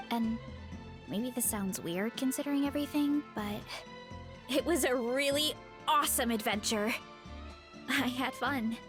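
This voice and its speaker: A woman playing a sweet, modest, earnest young woman in an anime game, speaking in a gentle, hesitant way. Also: sits high, close to an everyday voice. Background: game music.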